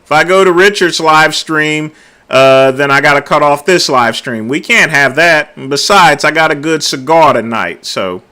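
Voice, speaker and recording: A middle-aged man hosting a casual late-night livestream, talking loudly and easygoing, chatting with his viewers. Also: fairly high pitch, moderate pace. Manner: loud, laid-back, drawls filler words like "there". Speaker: livestream host.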